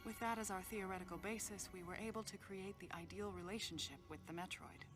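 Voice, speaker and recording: Game cutscene: actress as a guarded female scientist, measured explainer, heavy score underneath. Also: medium pitch, steady tone, over music, natural voice. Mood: explaining. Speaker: facility head character. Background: loud game score.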